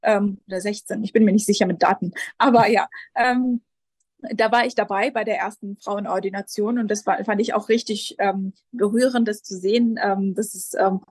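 A woman in her twenties from Jerusalem, talking as a pastor in an interview. She hesitates often and restarts her sentences while she looks for the right words. The sound is dry, with no room echo, and her voice sits at an average pitch. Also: steady tone, normal speed, a little mellow, husky. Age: 20s. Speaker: Lutheran pastor, guest. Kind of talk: casual interview answers.

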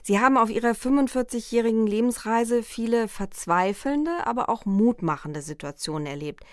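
Measured woman interviewer, formal questions. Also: fairly high pitch, no echo, clean studio sound. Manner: measured, formal, reads quotes aloud.

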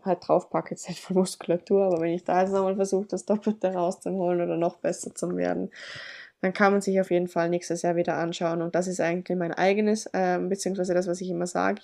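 A woman's voice: lowish voice, gravelly, dark tone. A woman guest in a casual podcast interview, sounding congested from a cold, who rambles and keeps correcting herself. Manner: rambling, frequent self-corrections. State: congested, stuffy nose from a cold. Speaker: athlete guest.

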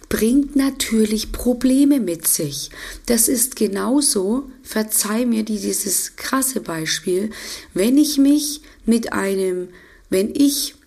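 A woman with a German accent hosting her podcast as an intuitive eating coach, slightly hoarse from a cold, chatty and often restarting her sentences. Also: medium pitch, a little mellow. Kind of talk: casual solo podcast talk.

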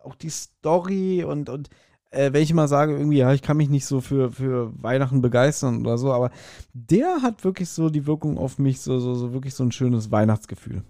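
A man's voice: medium pitch, a little fast, dark tone, dry recording, clean studio sound. A man co-hosting a podcast in casual chat, talking enthusiastically and rambling as he repeats phrases. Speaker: podcast co-host.

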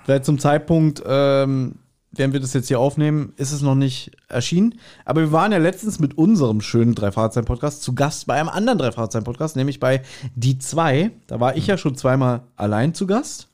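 A man's voice: mid-range voice, animated, brisk, a little mellow. A man chatting casually as a co-host on a podcast.

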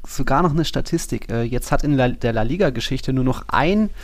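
A man's voice: medium pitch, animated, fairly quick. A man co-hosting a football podcast, chatting in a casual, laid-back, colloquial way.

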